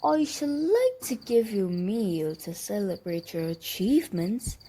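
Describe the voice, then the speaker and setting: A woman plays a scheming genie from a bedtime tale, full of formal flattery. The words come slowly in a mellow, lilting tone. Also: mid-range voice, slight background noise. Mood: falsely gracious. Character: boastful, sly male genie, dim and scheming. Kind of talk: children's storybook character reading.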